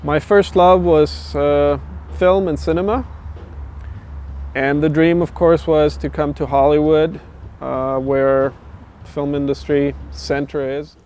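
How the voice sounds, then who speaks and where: A middle-aged man from Austria, a guitar builder talking thoughtfully and at a measured pace in a documentary interview, pausing often with "uh". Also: on the high side, level tone, darkish tone, slight background noise. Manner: thoughtful, measured, with frequent "uh" pauses. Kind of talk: documentary interview, reflective storytelling.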